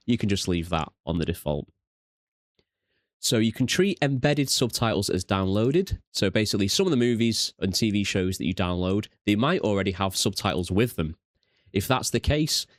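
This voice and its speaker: Low-voiced British man, dry close sound. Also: no echo. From United Kingdom. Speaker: tutorial host. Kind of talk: casual software walkthrough narration.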